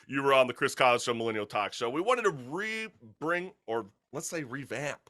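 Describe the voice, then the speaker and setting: A man hosting a casual talk show in an animated, slangy, hyped-up way. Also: mid-range voice, normal speed.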